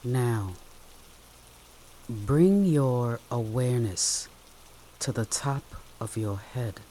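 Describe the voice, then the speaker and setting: A meditation guide speaking gently and soothingly in short phrases with long pauses, leading a guided relaxation. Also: mid-range voice, slight background noise. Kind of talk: guided meditation, soft instructional narration. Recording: steady rain sound behind the voice. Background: rain.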